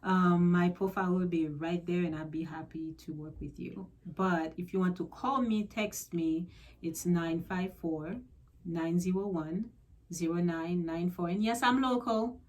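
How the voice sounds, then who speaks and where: A woman's voice: on the low side, measured pace, a little mellow. A woman from Haiti with a Haitian accent, a realtor chatting casually as a radio guest and laughing easily.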